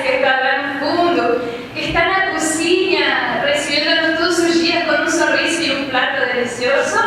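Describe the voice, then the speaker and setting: A high-voiced Argentine woman speaks Portuguese with a Spanish accent, sounding nervous and heartfelt as she accepts an award. Her voice is far from the mic and echoes through a large hall, with a steady noise underneath. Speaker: award winner giving a speech. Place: theater stage.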